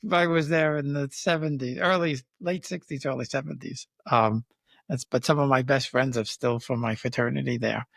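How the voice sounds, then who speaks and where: A man's voice: mid-range voice, fairly even intonation, moderate pace, creaky, no echo, studio quality. An older man from Queens with a New York accent, hosting a friendly, chatty interview. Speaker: interviewer host. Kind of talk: casual conversational interview.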